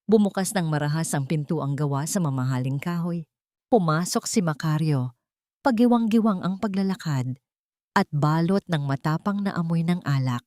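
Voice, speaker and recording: A woman narrating a scripted love story in a measured, dramatic way. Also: fairly low pitch, darkish tone, no echo, clean studio sound.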